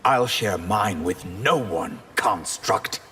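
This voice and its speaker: An actor playing a proud, sardonic sorcerer in a fighting game, delivering wry, haughty challenges. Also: male voice, on the low side, expressive, takes it slowly. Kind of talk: video game fight dialogue. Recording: long reverb, noisy game audio.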